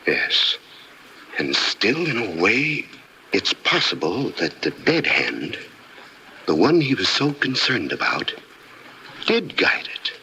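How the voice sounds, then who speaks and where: An actor playing a grave, sensible doctor who explains in a measured way, in an old-time radio drama. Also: male voice, lowish voice, huge pitch swings, exaggerated voice. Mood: uneasy wonder. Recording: vintage radio recording, faint noise.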